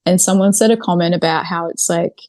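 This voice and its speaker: A woman's voice: lowish voice, fairly even intonation, fairly quick, a little mellow, dry recording, studio quality. A woman from New Zealand chatting informally as an interview guest, peppering her talk with "like" and "literally". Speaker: podcast guest, video creator. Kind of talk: casual interview chat.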